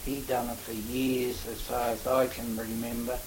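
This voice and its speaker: An older Australian man, in his seventies or beyond, reminiscing in a halting, rambling way for an oral history interview. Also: medium pitch, little pitch movement. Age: older, 70s or above. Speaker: interviewee recalling local history. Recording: faint hiss, amateur interview recording.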